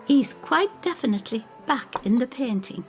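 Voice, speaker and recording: A woman's voice: on the high side, expressive, moderate pace, soft background music, voice pushed up, lightly acted. A woman voicing a drained, obsessed elderly female neighbour, earnest and wistful, in an audio storybook. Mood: earnest insistence. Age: older.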